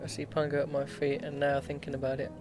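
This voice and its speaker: A man's voice: a little high, completely flat delivery, quick pace. A male game streamer reading a visual novel aloud, stumbling over the text and dropping in casual, joking asides. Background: game music.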